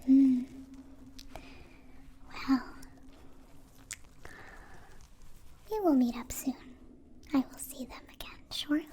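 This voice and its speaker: Woman playing a high, whispering gentle alien. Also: animated, slight background noise. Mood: thoughtful, hesitant. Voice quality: soft, mostly whispered. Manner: slow, halting, formal wording without contractions. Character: gentle curious young alien born in captivity. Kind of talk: whispered ASMR roleplay, close personal attention.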